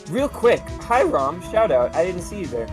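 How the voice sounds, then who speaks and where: A woman's voice: lowish voice, very animated, normal speed, music in the background. A woman game streamer chatting and joking casually with a group.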